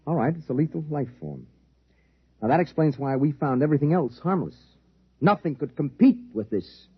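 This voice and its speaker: Husky, insistent male scientist. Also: a little high, big pitch swings, normal speed, exaggerated voice. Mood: insistent, grave. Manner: insistent, explaining. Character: intense, urgent male scientist reasoning out a threat. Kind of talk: 1950s radio drama acting. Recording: dull 1950s radio recording.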